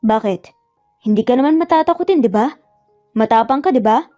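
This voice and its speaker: Audio-story boss, a woman acting the man: aloof, grumpy, dryly sarcastic, mellow, Filipino accent, light music bed. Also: a little high, expressive, brisk, no room sound, dull audio, close to an everyday voice. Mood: dry teasing. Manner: curt, dry sarcasm. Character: grumpy, aloof male boss with dry teasing.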